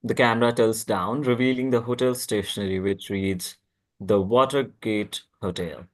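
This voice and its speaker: A man with a fairly low voice reads screenplay directions as narrator. It is scripted narration at a table read, delivered in plain present-tense description. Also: steady tone, moderate pace.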